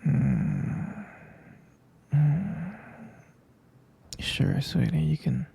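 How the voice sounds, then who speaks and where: A man playing a gentle, sleepy half-vampire boyfriend in an ASMR roleplay, murmuring soothingly and haltingly in a breathy, husky whisper. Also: fairly high pitch, exaggerated voice. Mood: sleepy, tender.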